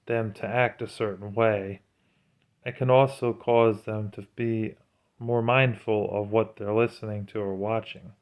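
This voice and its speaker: A man with a fairly low, clear and smooth voice talks to his viewers in a casual vlog style. His delivery is flat, with little rise or fall. He sounds earnest and admonishing, like someone giving personal religious testimony. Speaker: vlogger.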